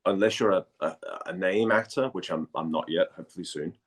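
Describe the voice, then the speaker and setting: A man from Denmark chatting casually as an interview guest, speaking haltingly and repeating words as he thinks. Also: deep voice, slightly rough.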